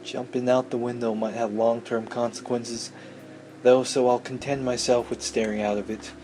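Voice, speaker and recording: A man's voice: mid-range voice, little pitch movement, normal speed, warm tone, slight background noise. A man reading a visual novel aloud as a let's play host, with casual, irreverent commentary. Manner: irreverent, sweary.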